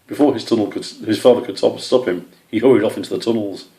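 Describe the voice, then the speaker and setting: A man's voice: on the low side, quick pace, warm tone, reverberant. A man reading a scripted children's animal story as its narrator. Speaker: author or story reader.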